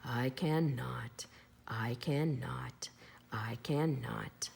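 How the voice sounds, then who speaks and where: A woman voicing a weary old male steam engine in a storybook, with slow, sighing, breathy speech that fades toward a whisper. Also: very deep voice, darkish tone, in a large hall, voice pushed down, full character voice. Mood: exhausted, sighing. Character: weary rusty old male train engine, gloomy and kind-voiced.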